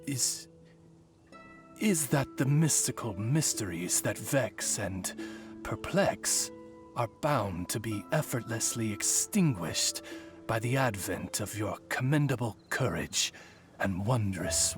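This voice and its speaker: A formal butler-ish familiar from a fantasy game, slow and eloquent, music playing behind. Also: male voice, on the low side, expressive. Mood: diplomatic. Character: courtly, loyal talking familiar serving a young lady. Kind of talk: video game cutscene voice acting.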